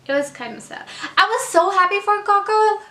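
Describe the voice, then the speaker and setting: A young Mexican woman with a Mexican accent talks through her reading in a rambling, chatty way, full of "like". Her voice sits high and sounds bright. The room around her is echoey. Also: moderate pace. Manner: chatty, rambling, many "like" fillers. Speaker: book vlogger. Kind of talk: casual reading wrap-up vlog.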